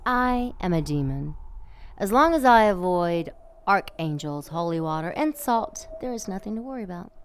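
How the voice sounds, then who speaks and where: A woman's voice: lowish voice, animated, moderate pace, clean tone, close to an everyday voice. An actress playing a rebellious, lustful female demon in an audio drama, sardonic and teasing, purring some words breathily. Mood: sardonic, scheming.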